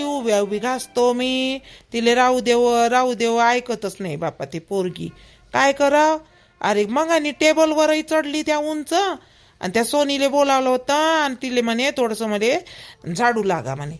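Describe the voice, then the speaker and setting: A woman voicing a gossipy, fretting village mother in a cartoon, with a Vidarbha Marathi accent and chatty, emphatic, repetitive talk. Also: sits high. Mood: fussing, fond. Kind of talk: homemade cartoon family dialogue.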